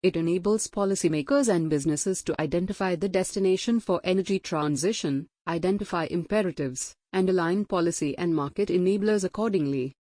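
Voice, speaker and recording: Mid-pitched reader, slow measured read-aloud, dry close sound. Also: darkish tone, dry recording. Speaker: sample exam reader. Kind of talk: reading a passage aloud.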